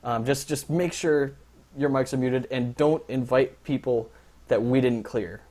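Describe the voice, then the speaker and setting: A man's voice: medium pitch, a little slow, warm tone. A young man briefing drivers on a casual livestream as the league organizer, rambling with lots of fillers and jokes. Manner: rambling, filler-heavy, joking.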